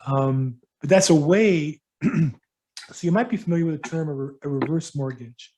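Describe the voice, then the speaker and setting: Man, middle-aged, real estate broker, mellow voice, slightly high-pitched, halting casual advice, lots of ums. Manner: halting, many ums and restarts.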